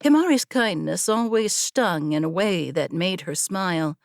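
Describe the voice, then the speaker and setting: A woman reading a scripted story as an audiobook narrator, with measured, expressive storytelling. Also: lowish voice, gravelly, no room sound, clean studio sound. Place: close, dry studio recording.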